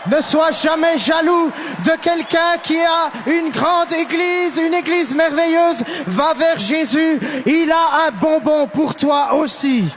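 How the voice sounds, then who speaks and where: Male sermon interpreter, strikingly high pitch, emphatic short phrases, long hall reverb. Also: slow pace, hardly any pauses, over music. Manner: emphatic, echoing the preacher's delivery. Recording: large reverberant hall sound.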